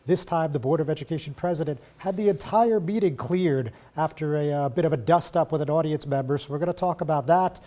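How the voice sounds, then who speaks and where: A man's voice: mid-range voice, warm tone. A man hosting a local political talk show, chatting casually with lots of fillers. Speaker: TV news talk show host.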